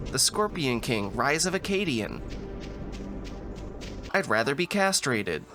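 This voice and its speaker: A man delivering scripted comedic video essay narration in a deadpan, sarcastic way. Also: medium pitch.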